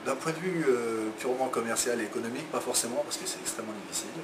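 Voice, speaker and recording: A man's voice: mid-range voice, rapid-fire. A man in his fifties from France, a publisher answering interview questions in his office, hesitant with many fillers and restarts. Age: 50s. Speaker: book publisher. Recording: roomy, far from the mic, loud ambient noise.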